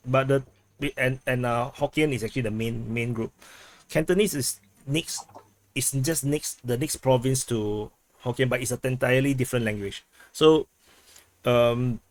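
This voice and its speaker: A man in casual group chat on a low-quality backup recording, talking haltingly with many restarts while he explains things through comparisons. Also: no echo. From Southeast Asia. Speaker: chat participant. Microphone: video call.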